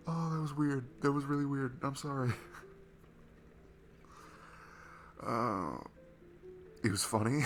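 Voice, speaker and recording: A man playing a shy, insecure, sweet guy at a bar in an intimate audio roleplay, talking in a nervous, halting, apologetic ramble. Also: mid-range voice, fairly bright tone, slight background noise, natural voice. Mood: flustered, apologetic. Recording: music behind the voice. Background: music.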